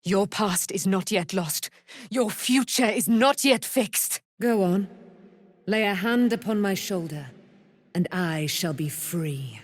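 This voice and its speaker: A woman's voice: medium pitch, slow pace, no room sound, clean studio sound. A woman playing a proud, noble immortal warrior in a fantasy video game, with grand, archaic, theatrical speech. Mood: grave, intense.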